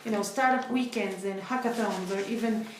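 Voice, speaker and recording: A young woman who is not a native English speaker explains upcoming events in a casual co-hosted chat, filling pauses with ums. Her voice sits at a middle pitch. The small room rings with strong echo, and a faint hiss runs behind her. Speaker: co-host. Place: small bright office room.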